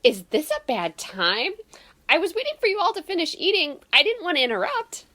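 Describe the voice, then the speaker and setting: Very animated, high, perky student girl. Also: brisk, full character voice. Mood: perky, eager. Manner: chirpy, eager, polite. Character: perky, eager young female college student. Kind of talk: audio drama dialogue.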